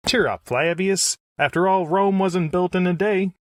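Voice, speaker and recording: An actor playing an eager, excitable Roman senator with slangy, earnest delivery in old-time radio comedy style. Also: male voice, high-pitched, moderate pace, dry recording, studio quality, close to an everyday voice. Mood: urgent.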